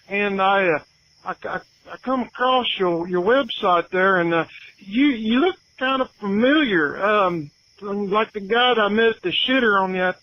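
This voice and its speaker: Halting, folksy male call-in character, played by a man, high-pitched, on a muffled phone call. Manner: halting, stammering. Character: awkward, folksy male radio show caller.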